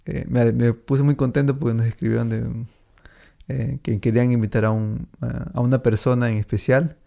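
A man's voice: mid-range voice, level tone, normal speed, vocal fry, warm tone. A man hosting a podcast in casual chat, rambling with many fillers and easy laughter. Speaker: podcast host.

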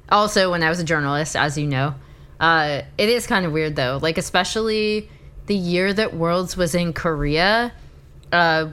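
A woman co-hosting a podcast from her apartment, sick, with her voice cracking and deeper than usual, chatting in a relaxed way. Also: clear, resonant tone. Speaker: podcast co-host. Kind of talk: casual podcast chat.

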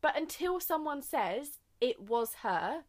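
A young woman co-hosting a podcast, chatting quickly and tripping over her words, sitting close to the microphone. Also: sits high, sing-song. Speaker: podcast co-host. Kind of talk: casual podcast chat. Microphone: sitting close to an uncovered mic.

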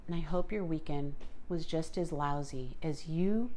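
Slow, earnest low-voiced woman podcaster. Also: darkish tone, slight background noise. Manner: earnest, pleading, motivational. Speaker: podcast host. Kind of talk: solo podcast monologue.

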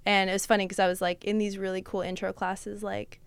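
A young woman chatting as a podcast guest, her voice smooth and clear with a dry, close sound. She talks loosely, with lots of "like" and "um". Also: mid-range voice, flat delivery, a little fast, no room sound. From Russia. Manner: chatty, many fillers like "like" and "um", laughs often. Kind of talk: casual chat.

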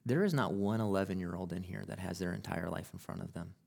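A man preaching a sermon as pastor, moving from conversational teaching to loud, impassioned preaching. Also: low voice, fast, gravelly. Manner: acts out quoted people in casual voices. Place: church sanctuary.

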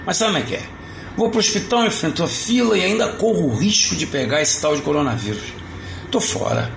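An actor playing an older, weary retired mall Santa with a Rio de Janeiro accent, chatting colloquially in an audio drama. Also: male voice, fairly high pitch, animated, brisk, creaky. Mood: grumbling. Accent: Brazilian, Rio de Janeiro. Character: weary, wry retired mall Santa, encouraging old friend. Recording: roomy echo, faint background noise.

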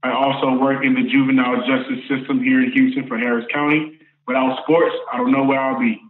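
A podcast host telling a story in a relaxed, casual way. His voice sounds thin and phone-like, with echo from the room around him. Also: medium pitch, a little fast, a little hiss or hum. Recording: roomy tinny phone-like line.

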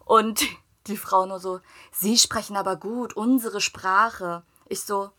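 A woman's voice: medium pitch, slow pace. A young woman raised in Germany, chatting casually and telling stories with acted-out dialogue and frequent laughter. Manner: lively storytelling, acts out dialogue, laughs often. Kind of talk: casual chat.

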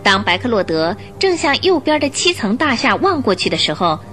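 Expressive woman narrating a radio drama. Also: fairly high pitch, fairly quick, soft background music. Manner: expressive storyteller phrasing.

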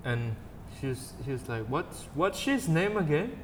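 A man's voice: a little high, sing-song, unhurried, long reverb tail, slight background noise. A man, a podcast co-host, teasing and telling stories in casual chat. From Malaysia. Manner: teasing, repeats jokes, storytelling.

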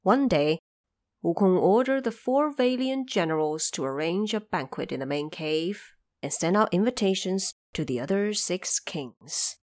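A woman narrates a fantasy story in a slow, measured way. Her pitch moves expressively through each phrase, and the recording is close and dry. She delivers it as scripted storytelling with explanatory asides. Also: expressive, a little mellow, no room sound. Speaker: narrator of an audio drama series.

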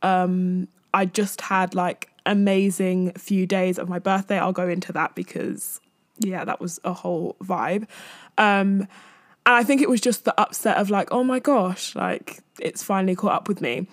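Mid twenties girl doing a chatty solo podcast, candid and rambly, medium pitch, really dry close mic. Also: fairly even intonation, unhurried, no echo. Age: 20s. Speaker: podcast host.

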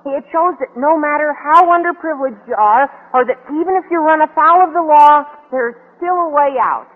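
An actor playing an eager, chipper teenage schoolboy in an old radio sitcom, with a squeaky adolescent voice. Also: male voice, moderate pace. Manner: chipper, excitable, earnest. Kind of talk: 1940s-50s radio sitcom acting. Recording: old radio broadcast, phone-like narrow band.

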